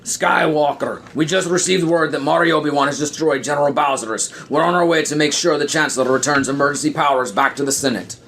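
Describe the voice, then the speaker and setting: Man doing a stern, raised-pitch Jedi master. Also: a little fast, hardly any pauses, fairly bright tone, slight background noise. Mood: grave. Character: stern senior male Jedi master, grave and wary. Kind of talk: parody audio play character voice.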